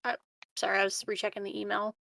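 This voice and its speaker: A woman's voice: medium pitch, monotone, fast, gravelly, sharp tone, no room sound. A woman playing a tabletop game, chatting casually in a tentative, hesitant way.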